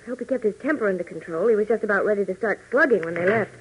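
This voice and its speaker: An actress playing a sensible, composed young woman in a 1940s radio adventure, with a slightly husky voice. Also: medium pitch, very fast, slight background noise, natural voice, rough recording. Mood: wry. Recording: band-limited old 1940s radio recording.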